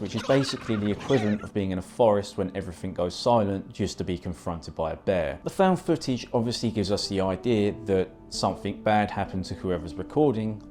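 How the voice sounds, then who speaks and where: Male video essay narrator, low register, explanatory and casual delivery, soft music bed. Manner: informal, explanatory. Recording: music behind the voice.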